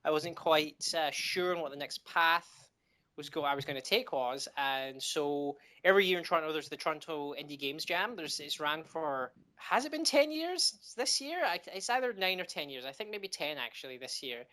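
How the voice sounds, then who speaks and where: A middle-aged man from Scotland with a Scottish accent, answering interview questions with frequent pauses, fillers and restarts. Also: fairly high pitch, lively intonation, bright, forceful tone. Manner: hesitant, many ums and restarts. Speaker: game developer guest.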